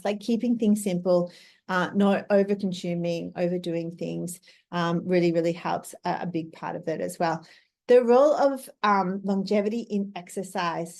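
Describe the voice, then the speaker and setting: A woman's voice: fairly low pitch, a little mellow. A woman of fifty talking casually as a wellness coach. She explains things step by step and keeps checking in with "right?". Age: 50s. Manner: chatty, explains step by step, often adds "right?". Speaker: Ayurveda coach. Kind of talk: casual talk to camera.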